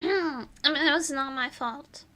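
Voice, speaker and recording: A woman's voice: high voice, a little slow, sharp tone. A woman hosting a casual livestream chat, rambling and repeating herself in a playfully theatrical way, her voice strained from screaming and crying. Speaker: VTuber livestream host.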